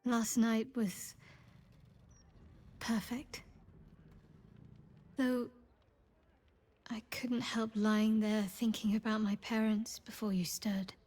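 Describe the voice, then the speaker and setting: A woman playing a young half-elf adventurer in a video game, wistful and tender, with a soft, breathy delivery. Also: on the high side, flat delivery, slow pace, long pauses. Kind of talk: intimate video game dialogue.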